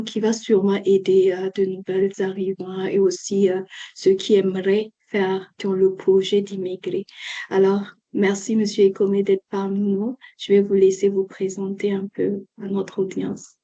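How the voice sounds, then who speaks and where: Brief: woman host, polite interviewer, monotone and mellow, lo-fi sound. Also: medium pitch. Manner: polite, with fillers. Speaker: interviewer for an immigration agency. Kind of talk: casual interview. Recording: muffled lo-fi recording.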